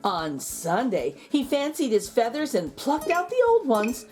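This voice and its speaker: A woman storyteller reading a children's picture book aloud in an expressive narrating style. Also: fairly high pitch, a little slow, faint music behind.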